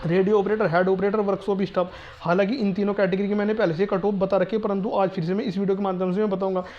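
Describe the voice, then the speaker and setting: Man, high monotone voice, insistent repetitive exam explainer, dull lo-fi sound. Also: fast. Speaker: exam prep presenter. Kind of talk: informal explainer addressed to students.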